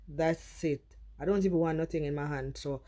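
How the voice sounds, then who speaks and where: A woman's voice: low voice, normal speed, mellow. A young woman with a Trinidadian accent, chatting casually to her viewers in a vlog and slipping into Trini creole. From Trinidad and Tobago. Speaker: vlogger.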